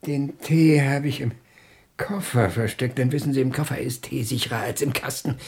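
An actor playing a fussy, muddled old hotel lodger who stammers and repeats himself in a husky voice, in a German radio play. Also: male voice, mid-range voice, normal speed, a little mellow. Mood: flustered, cheerful.

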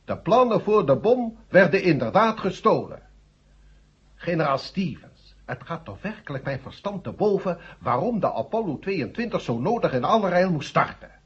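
A man's voice: high voice, very animated, normal speed, husky, exaggerated voice. An actor playing a stern, indignant space agency chairman in a 1970 radio drama, speaking formally and pompously. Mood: indignant, probing. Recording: dull, muffled old radio recording.

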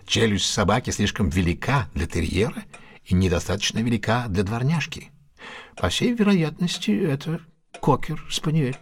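An actor playing a confident, ironic detective with a husky voice in a Russian audio drama, speaking in a deliberate, theatrical, questioning manner. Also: male voice, medium pitch, animated. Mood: keen, playful.

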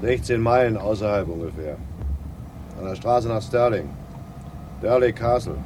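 An actor playing a laconic, matter-of-fact driver in a radio crime drama. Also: male voice, on the low side, normal speed, a little mellow, close to an everyday voice. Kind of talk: 1960s German radio crime drama acting. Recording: outdoor car noise behind dialogue.

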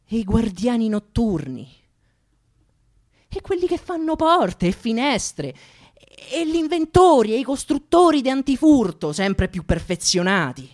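A woman's voice: a little high, sing-song, measured pace. A woman playing an impassioned Roman thief with a Roman accent, delivering a theatrical mock funeral oration in a declamatory, rhetorical way. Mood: indignant, rousing. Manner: declamatory, rhetorical, swinging from hushed to shouted. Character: impassioned Roman thief eulogizing a dead comrade.

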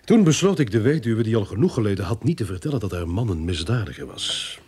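An actor playing a cocky, scheming corrupt policeman in a radio drama, with a husky voice. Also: lowish voice, sing-song, fast talker, a little mellow, exaggerated voice. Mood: calculating.

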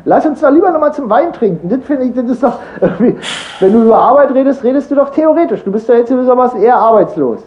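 A man's voice: extremely high pitch, animated, fast, lo-fi sound. A man hosting improvised casual radio chat, rambling and teasing in a mock-earnest way. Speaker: radio talk host.

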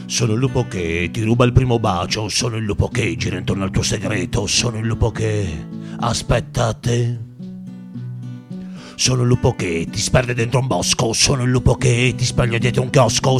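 A man performs a repetitive, incantatory ballad as a singer-guitarist, drawing out the ends of his refrains. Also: mid-range voice. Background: acoustic guitar.

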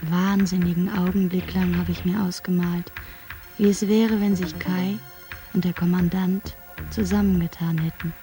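An actress playing a wistful shuttle crew member in a science fiction radio play, musing in drawn-out phrases. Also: female voice, fairly low pitch, little pitch movement, warm tone, soft background music, natural voice. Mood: wistful daydreaming.

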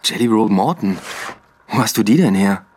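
A man's voice: fairly low pitch, animated, moderate pace, a little mellow, a little hiss or hum, close to an everyday voice. A man playing a dry, probing police detective in a radio play, terse and wry. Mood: warm, playful. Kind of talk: radio drama dialogue.

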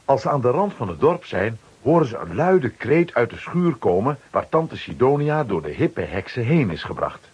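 A man's voice: mid-range voice, very animated, normal speed, few pauses, darkish tone, slightly rough, dull audio. A man narrating a scripted children's radio play as a storyteller.

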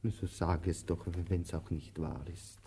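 A man acting an anxious, talkative tramp in an absurdist radio play, halting and insistent, repeating himself as he clings to his companion. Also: deep voice, fairly even intonation, creaky, warm tone, slightly rough, full character voice. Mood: insistent, needy.

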